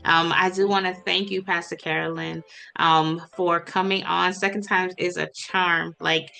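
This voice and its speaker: A woman's voice: on the low side, little pitch movement, unhurried, creaky, pushed and bright, faint music behind, rough recording. A woman hosting a faith podcast, enthusiastic and encouraging, speaking in a casual, preacherly way. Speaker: podcast host. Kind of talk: casual interview talk.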